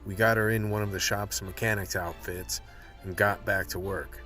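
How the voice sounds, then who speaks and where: Actor as a low-voiced hard-boiled tough guy. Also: fairly even intonation, moderate pace, loud music behind the voice, natural voice. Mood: grim narrating. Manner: blunt, terse, streetwise. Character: tough, hard-boiled, world-weary male crew leader. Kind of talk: radio drama acting with noir narration.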